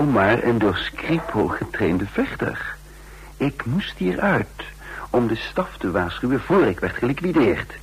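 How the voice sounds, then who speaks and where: A man's voice: lowish voice, breathy edge, full character voice, poor audio. An actor playing a defiant trained fighter who recounts his own escape in a radio drama, with a sardonic edge. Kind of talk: radio drama, first-person narration and dialogue.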